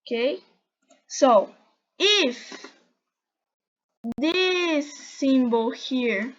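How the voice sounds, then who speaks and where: A high-voiced woman from Brazil speaks English as she explains math symbols. She talks slowly and haltingly and keeps correcting herself. The home recording sounds dull and lo-fi. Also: lively intonation, fairly bright tone. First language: Portuguese. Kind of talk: unscripted explanation while drawing.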